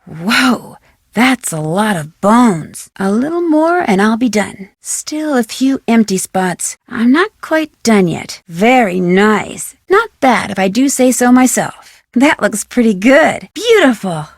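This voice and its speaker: A young American woman playing a plucky, curious amateur detective in a video game, with crisp, expressive, self-narrating delivery. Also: a little high, slow pace, dry recording, studio quality, exaggerated voice. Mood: startled, wary, then pleased, upbeat. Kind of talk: scripted video game character lines.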